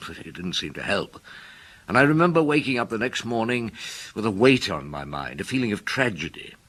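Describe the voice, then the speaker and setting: An older detective looking back in a radio play. The voice is very deep and husky, reflective and wry, rising and falling expressively as he narrates. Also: very animated, fairly bright tone. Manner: reflective, deliberate. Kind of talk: first-person radio drama narration.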